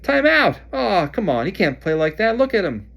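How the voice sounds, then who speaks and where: A man's voice: high voice, big pitch swings, normal speed, vocal fry, a bit bright, pitched above the performer's own voice, exaggerated voice. A man voicing an indignant teenage boy wizard who bellows in exasperation. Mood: exasperated.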